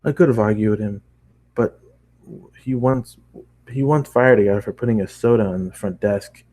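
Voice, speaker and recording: Mellow-voiced man reading a story aloud. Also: lowish voice, normal speed. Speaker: narrator. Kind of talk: amateur story reading, first-person narration.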